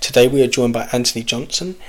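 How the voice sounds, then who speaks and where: Male announcer, mid-pitch, steady scripted intro read. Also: fast talker. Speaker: show announcer.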